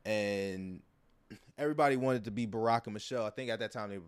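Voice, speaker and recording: A man's voice: lowish voice, fast talker, clear, resonant tone. A man hosting a podcast in a casual conversational style, talking in a long-winded way that circles back and repeats words. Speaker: podcast host.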